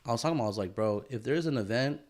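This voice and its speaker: A man's voice: medium pitch, lively intonation, racing, a little mellow. A man in his thirties co-hosting a podcast, chatting casually with lots of swearing and bro-talk. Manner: laid-back, swears a lot, says bro and dude. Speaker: podcast co-host. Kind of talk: casual chat.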